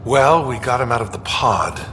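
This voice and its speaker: A man's voice: medium pitch, normal speed, a bit bright, lightly acted. A man playing a careful, kindly ship doctor in a video game, with a hesitant way of explaining things. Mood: cautious. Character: cautious male ship doctor, weary but kindly. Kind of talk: dramatic video game acting. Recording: hall reverb, faint ambient noise.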